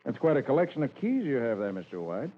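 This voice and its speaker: An actor playing a cool, observant private detective in a radio drama, with a matter-of-fact, questioning manner. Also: male voice, medium pitch, big pitch swings, fast talker, close to an everyday voice. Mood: composed. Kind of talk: 1950s radio drama acting. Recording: echoey, muffled, noisy old radio recording.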